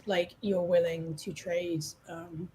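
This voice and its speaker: A woman co-playing a game, chatting casually with animated, thoughtful questions. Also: fairly low pitch, takes it slowly, slight background noise, poor audio. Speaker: let's-play co-player. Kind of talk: casual gameplay commentary. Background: game music.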